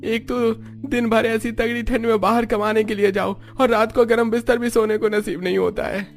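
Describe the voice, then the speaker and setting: Guy doing a grumbly cartoon husband, kinda high creaky voice, always complaining and taunting. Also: flat delivery, normal speed, faint music behind. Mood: grumbling, resentful. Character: bitter, grumbling husband in a poor household. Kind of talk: Hindi cartoon story acting.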